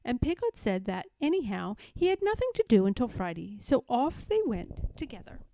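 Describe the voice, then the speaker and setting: A woman's voice: fairly high pitch, big pitch swings, moderate pace, warm tone. A woman reading a children's storybook aloud as a narrator. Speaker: storybook reader.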